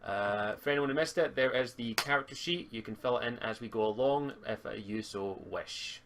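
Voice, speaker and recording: Male game master and stream host, mid-pitched, walking players through tabletop rules over a voice call. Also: normal speed, background noise. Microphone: voice call on a live stream.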